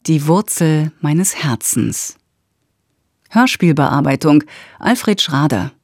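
Female announcer, formal, neutral, measured slow delivery, careful enunciation, dry close sound. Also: low-pitched, expressive, breathy edge, no echo. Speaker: radio announcer. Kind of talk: formal scripted credits announcement.

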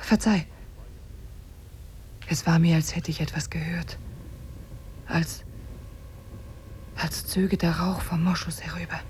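An actress playing a cunning captive woman who feigns love, soft and seductive, in a 1950s German radio play. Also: on the low side, little pitch movement, takes it slowly, long pauses, slight background noise. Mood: intent, secretive. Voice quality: very breathy, often near whispering. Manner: soft, coaxing, hesitant.

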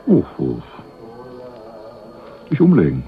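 An actor playing a cocky, laid-back small-time crook in a radio crime drama, talking in a casual, slangy, sly way. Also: male voice, extremely low pitch, wildly swooping pitch, slow pace, gravelly, phone-quality sound, faint music behind, full character voice. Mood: sly, persuasive. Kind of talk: 1980s German radio crime drama acting.

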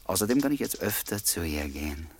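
A man's voice: low-pitched, big pitch swings, fairly quick, background noise. An actor playing a wry, courteous male writer who narrates and talks in a German radio play. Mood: wry, confiding.

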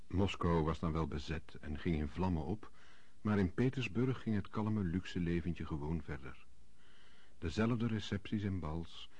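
A man with a husky voice narrating a radio play in a measured storytelling style. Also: low-pitched, a little mellow, no room sound. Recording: dull, muffled old radio recording.

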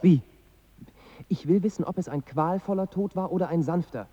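A man's voice: high voice, normal speed, mellow. An actor playing a dead father who appears to his son in a dream, probing and consoling, in a radio drama. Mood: insistent. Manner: insistent, probing, then consoling. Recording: dull sound with music behind.

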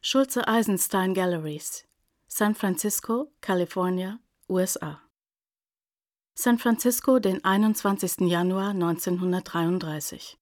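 An actress as a formal radio drama announcer, reading out addresses and dates in a matter-of-fact, deliberate way. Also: female voice, mid-range voice, a little mellow, dry recording, clean studio sound, close to an everyday voice. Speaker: announcer of letter headings. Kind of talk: scripted radio drama narration.

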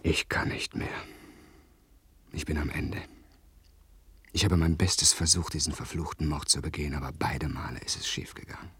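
A man playing an anguished, duty-obsessed gentleman in a German radio play, husky and theatrical, often sinking into whispers. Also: a bass voice, steady tone, moderate pace, long pauses, no room sound, heavily acted. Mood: despairing. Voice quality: husky, breathy.

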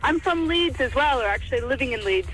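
A woman's voice: sits high, expressive, fairly quick, fairly bright tone, faint music behind, low-quality recording. An American woman in her twenties phoning in to a radio show, giggly and playful. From United States. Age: 20s. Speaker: caller. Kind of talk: phone-in call.

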